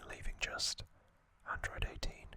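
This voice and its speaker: Slow, whispering man hosting ASMR. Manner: soft whisper, slow, with hesitant fillers. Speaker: ASMR host.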